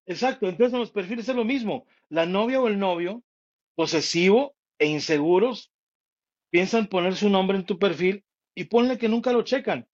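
A man's voice: high-pitched, normal speed, lo-fi sound. A man co-hosting a casual chat, teasing and joking through the banter. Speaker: podcast co-host.

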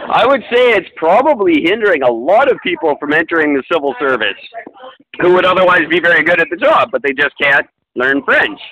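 A man's voice: fairly high pitch, big pitch swings, fairly quick, bright, forceful tone, lo-fi sound. A man co-hosting a podcast, talking in a rambling casual way with frequent ums and restarts. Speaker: podcast co-host. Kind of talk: casual chat.

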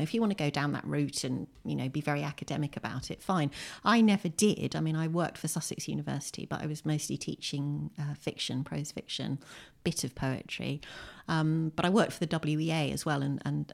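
A British woman with a low voice speaks as a writer being interviewed. She chats in an anecdotal, self-deprecating way, as in a relaxed conversation at home. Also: vocal fry. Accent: British English. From Britain.

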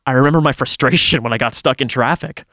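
A man's voice: mid-range voice, quick pace, pushed and bright, dry recording, studio quality. A man reading a first-person horror story aloud in a dramatic storytelling style, as a podcast host would.

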